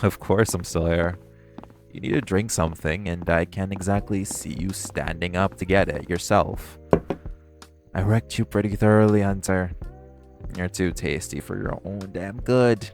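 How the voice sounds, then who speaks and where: A man's voice: unhurried, darkish tone, faint music behind. A man playing a teasing, flirtatious vampire in an intimate audio roleplay, smug yet protective. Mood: teasing, caring. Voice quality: low, gravelly voice.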